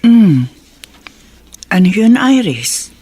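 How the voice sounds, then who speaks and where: An actress with a Filipino accent playing a stern, skeptical elderly grandmother in a radio drama, husky and probing. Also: mid-range voice, sing-song, drawn-out words, dark tone, exaggerated voice. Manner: probing, judgmental.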